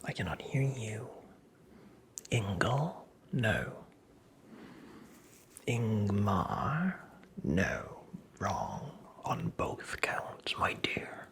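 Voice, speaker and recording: A performer playing a creepy, teasing, very old male magical imp in a whispered ASMR roleplay, with breathy, creaky, theatrical delivery. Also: mid-range voice, sing-song, fairly bright tone, exaggerated voice. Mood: taunting, impatient. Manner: slow, theatrical, taunting, toying with the listener.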